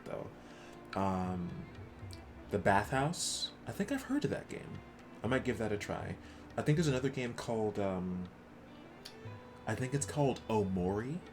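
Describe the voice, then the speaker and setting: A man streaming games, chatting casually with his viewers in an offhand, conversational way. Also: deep voice. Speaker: game streamer. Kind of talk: casual livestream chat with viewers. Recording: clear background noise.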